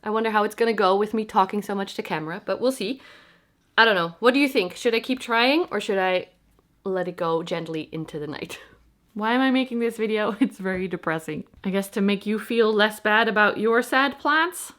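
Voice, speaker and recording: A woman's voice: medium pitch, brisk, fairly bright tone. A young adult woman with a stuffy, congested voice from a cold, chatting casually to camera at home, self-deprecating and explaining things as she goes. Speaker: plant vlogger. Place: home, indoors.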